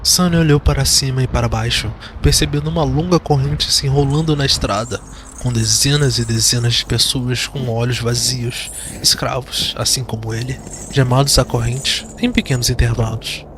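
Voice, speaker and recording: A man's voice: mid-range voice, steady tone, normal speed, fairly bright tone, a little hiss or hum. A man with a Brazilian accent giving dramatic scripted narration of a fantasy audio drama. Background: background music.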